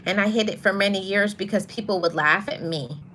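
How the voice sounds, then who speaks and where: Soft-voiced woman giving motivational talk. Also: medium pitch, brisk, a little hiss or hum. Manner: earnest, emphatic repetition of key phrases; greets live viewers mid-talk. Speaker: motivational podcast host. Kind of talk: live-streamed motivational talk.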